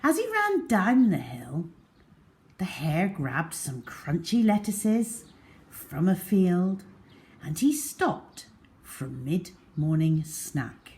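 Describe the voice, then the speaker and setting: A woman storyteller reads for young children with theatrical care. Her pace is very slow and her pitch moves in lively swoops. Phrases often settle into a hush at the end. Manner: theatrical, deliberate, with hushed phrase endings.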